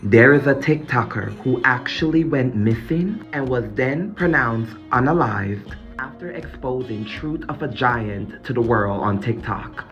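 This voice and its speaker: Lo-fi male explainer over faint music. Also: medium pitch. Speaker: content creator. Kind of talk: explainer narration to camera. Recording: slightly muffled, lo-fi sound.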